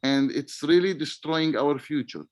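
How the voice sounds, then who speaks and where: A man from Palestine, answering interview questions on a video call in a halting way, searching for words. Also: on the high side, no echo, studio quality. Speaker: webinar guest.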